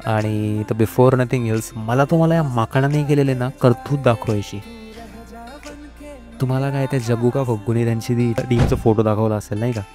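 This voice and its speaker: A man's voice: medium pitch, steady tone, moderate pace, music in the background, lightly acted. A man playing a cocky, sarcastic wolf who hosts a comedy podcast, mocking and hesitant, mixing in English words. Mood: smug. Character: cocky, sarcastic male wolf podcast host, secretly cowardly. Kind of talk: scripted comedy podcast monologue.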